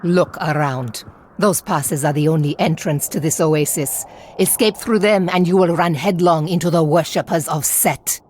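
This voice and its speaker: An actress playing a proud, resolute warrior leader in a video game, speaking with solemn command. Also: female voice, low-pitched, normal speed, a little mellow, a little hiss or hum, close to an everyday voice. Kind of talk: video game cutscene acting.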